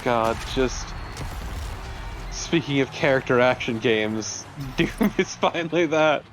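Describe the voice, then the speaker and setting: A man's voice: mid-range voice, takes it slowly, music in the background. A man co-commentating on a let's play with casual, teasing banter. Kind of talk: casual banter over gameplay. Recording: faint background noise.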